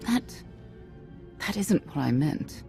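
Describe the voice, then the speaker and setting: A woman voicing a weary, regretful older mother and former elite guard in a video game, speaking in a measured, composed way. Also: sits low, very animated, vocal fry, dark tone, exaggerated voice. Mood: firm, defensive. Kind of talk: video game cutscene acting. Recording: music behind the voice.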